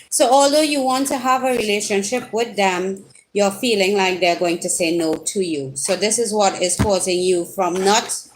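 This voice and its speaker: A woman's voice: medium pitch, slight background noise, low-quality recording. A woman with a Trinidadian accent giving a casual live tarot reading, chatting and teasing her viewers directly with sudden rising exclamations. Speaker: tarot reader.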